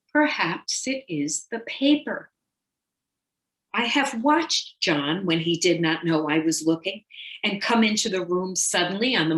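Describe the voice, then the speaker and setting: Woman reading as a paranoid victorian wife telling her story, confiding n obsessive, slow dramatic delivery w big pauses, echoey room. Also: mid-range voice, a bit bright. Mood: suspicious, fearful. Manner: dramatic, deliberate, with loaded pauses. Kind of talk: dramatic first-person short story reading.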